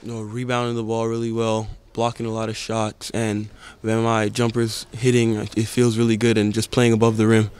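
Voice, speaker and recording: A teenage basketball prospect from the Bahamas, answering interview questions in a calm, even way into a handheld mic. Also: male voice, lowish voice, normal speed, hardly any pauses, clean tone. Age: teens. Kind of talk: post-game press interview. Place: indoor camp media backdrop. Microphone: handheld reporter mic held close.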